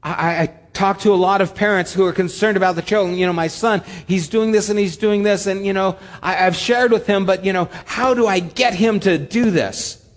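A pastor giving a conversational sermon with humorous asides. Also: male voice, high-pitched, fairly quick, few pauses, in a large hall.

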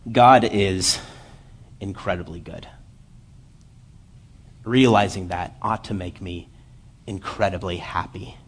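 A man in his late twenties giving a church sermon, lively while telling a story and then quiet and earnest as he reads scripture. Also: low voice, takes it slowly, pauses often, breathy edge. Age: 20s. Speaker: lay preacher.

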